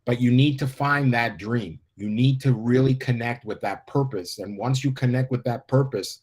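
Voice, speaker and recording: Man, 50s, from the United States, life coach guest, earnest, inspirational, mid pitch. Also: normal speed, a little mellow, husky. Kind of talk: interview talk.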